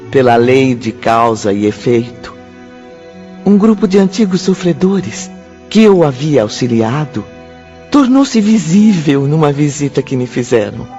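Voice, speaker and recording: An actor playing a reflective medium with a Brazilian accent, narrating in a radio drama. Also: male voice, mid-range voice, mellow, lo-fi sound. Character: reflective spirit medium recalling past trials.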